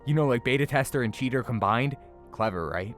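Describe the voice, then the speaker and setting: A man's voice: medium pitch, lively intonation, brisk, soft background music. A man delivering scripted anime review commentary with casual asides, in a sarcastic, exasperated and emphatic manner.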